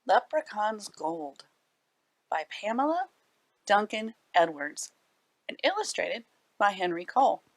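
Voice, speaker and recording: A woman reading aloud to children as a library storyteller, animated and dramatic with deliberate phrasing. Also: medium pitch, no echo.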